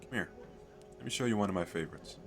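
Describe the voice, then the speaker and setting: A man playing an eager, chatty fantasy merchant in an audio roleplay, talking the listener through a sales pitch in a hesitant, rambling, persuasive way. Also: animated, fast talker, gravelly, music in the background. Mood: coaxing. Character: eager, chatty male fantasy merchant selling magical crystal castles.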